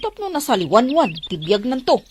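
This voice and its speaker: A woman's voice: sits high, big pitch swings, fairly quick, a little mellow, a little hiss or hum. A woman playing a frank, concerned friend in an audio drama, chatty and reproachful. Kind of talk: Ilocano audio drama dialogue.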